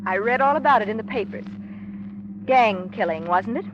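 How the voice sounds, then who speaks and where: A woman's voice: on the high side, expressive, normal speed, natural voice. A woman playing a guarded, anxious doctor's wife with a secret in a 1940s radio drama, speaking in clipped, coolly polite phrases. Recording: dull noisy old radio recording.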